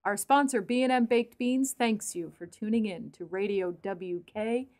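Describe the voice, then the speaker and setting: A woman announces for an old-time radio station. Her voice sits at a middle pitch as she delivers scripted continuity and sponsor lines. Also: normal speed, a little mellow.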